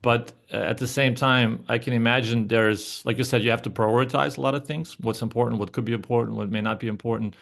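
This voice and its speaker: A man's voice: mid-range voice, steady tone, fast, vocal fry, few pauses, fairly bright tone. A man hosting a podcast, asking long rambling questions full of restarts. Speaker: podcast host. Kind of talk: podcast interview.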